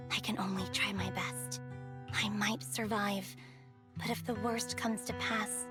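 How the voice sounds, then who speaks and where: Woman voicing a sad young soldier girl in a game, quiet measured halting talk, guilty ex captain, music playing behind. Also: mid-range voice, lightly acted. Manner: soft, measured, halting, subdued. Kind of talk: dramatic video game voice acting.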